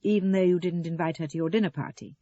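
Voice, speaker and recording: A woman voicing a curious, perceptive man in an audio story, questioning, reasonable and gently needling. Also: lowish voice, fast talker, dull audio. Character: curious, perceptive man, mildly long-suffering houseguest.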